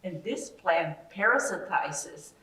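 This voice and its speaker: A woman's voice: on the low side, animated, takes it slowly, pushed and bright. A woman from Ecuador, a chemistry professor telling her story to young people in a friendly, somewhat hesitant way. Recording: echoey room, distant mic.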